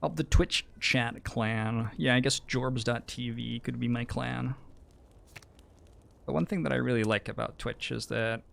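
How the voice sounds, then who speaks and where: A man's voice: mid-range voice, measured pace, vocal fry, fairly bright tone. A man from New Zealand, a game streamer, chatting with viewers in a thoughtful, hesitant, musing way. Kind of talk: casual livestream chat.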